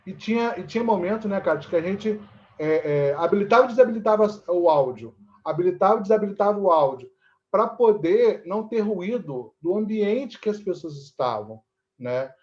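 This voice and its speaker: Brazilian male interviewee, fairly high voice, explanatory and conversational, lo-fi sound. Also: expressive, fairly quick, a little mellow. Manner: explanatory, repeatedly seeking agreement with tag questions. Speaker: interviewee, actor and theatre teacher. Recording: dull, lo-fi audio.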